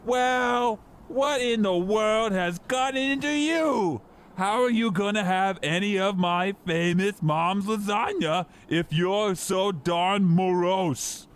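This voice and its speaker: A man's voice: high-pitched, slow, clean tone. A man voicing a nagging, doting working-class mother in a comic old-time radio play. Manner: chiding, matter-of-fact.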